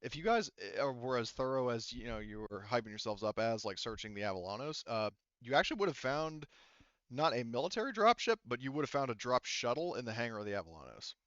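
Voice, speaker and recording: Clear-voiced man running a tabletop game. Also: medium pitch, very animated, a bit bright, no room sound, lo-fi sound. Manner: halting, explains in rambling detail. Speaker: game master. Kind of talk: casual tabletop game narration.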